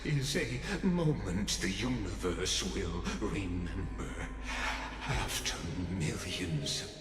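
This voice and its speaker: Slow, smug, theatrical villain. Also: male voice, low voice. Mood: triumphant lecturing. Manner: drawn-out, mocking, grandiose delivery. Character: smug, theatrical male villain, coolly condescending schemer. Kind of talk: dramatic video game voice acting. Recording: cavernous reverb over a music bed.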